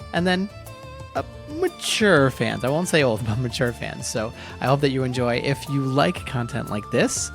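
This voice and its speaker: A man's voice: mid-range voice, gravelly. A man hosting a story podcast, giving an informal spoken introduction. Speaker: podcast host.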